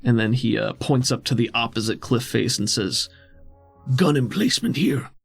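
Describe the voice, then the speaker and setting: A man's voice: mid-range voice, little pitch movement, moderate pace, creaky, faint music behind. A man improvising narration as the game master of a tabletop role-playing game.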